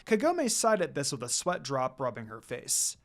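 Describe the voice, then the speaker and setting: A man narrating a fan fiction story in an expressive reading style. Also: medium pitch, moderate pace, gravelly.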